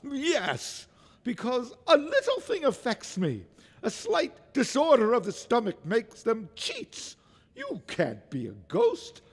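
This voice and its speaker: Crotchety old male miser, very high and wildly swooping, raspy, stammering, fearful, radio drama, long reverb. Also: wildly swooping pitch, slow pace, heavily acted. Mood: scornful. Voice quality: gravelly, raspy. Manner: quavering, stammering, theatrical exclamations.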